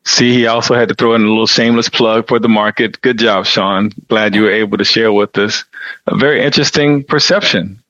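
A man's voice: fairly low pitch, steady tone, fairly quick, pushed and bright, dry recording. A man chatting casually as a podcast host. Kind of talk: casual panel chat. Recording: dull, lo-fi sound.

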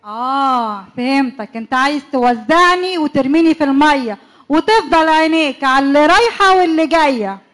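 A young woman with an Egyptian accent playing a jealous, suspicious wife in a church radio comedy-drama, talking in a sharp, needling way. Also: high voice. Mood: accusing. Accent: Egyptian Arabic. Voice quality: sharp, needling, quick to accuse.

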